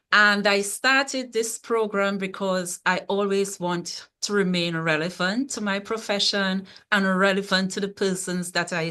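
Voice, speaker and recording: Bright-voiced woman from Tobago. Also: mid-range voice, clean tone. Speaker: guest, librarian. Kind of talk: online panel talk.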